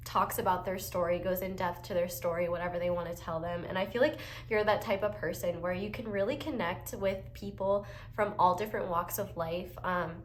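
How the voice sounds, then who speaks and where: A woman's voice: fairly low pitch, steady tone, a little fast, hardly any pauses, slight background noise. A young woman getting over a cold, giving a chatty, upbeat tarot reading with lots of fillers. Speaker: tarot reader. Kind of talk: casual pick-a-card tarot reading. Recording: echoey room with faint ambience.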